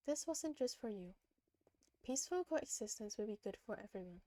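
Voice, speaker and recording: A woman voicing a self-assured young witch fortune-teller from a video game, wry and deliberate in manner. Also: on the high side, expressive, dark tone, no echo, lightly acted. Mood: earnest, musing. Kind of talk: reading video game dialogue aloud.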